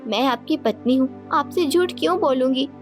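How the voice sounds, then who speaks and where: A woman's voice: high-pitched, moderate pace, natural voice. A woman voicing a scheming, vengeful queen in a cartoon moral story, accusing and theatrical in manner. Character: vengeful, scheming young queen, cold and mocking. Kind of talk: dramatic Hindi moral-story cartoon dialogue. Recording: loud music bed behind voice.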